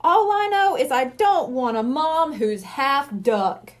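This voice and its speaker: A worried young boy from a storybook voiced by a woman, with a high, very lively delivery. He is indignant and emphatic, pressing his point with exasperated disbelief. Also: slow pace, exaggerated voice. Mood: indignant, incredulous. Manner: emphatic, incredulous.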